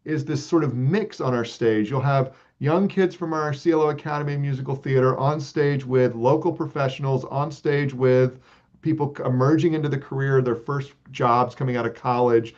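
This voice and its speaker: A man of middle pitch talks through his answers in an earnest, reflective way and keeps filling pauses with ums and uhs. The audio is slightly muffled, with a lo-fi edge. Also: darkish tone. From Texas. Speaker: arts organization leader, guest. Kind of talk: relaxed interview answers.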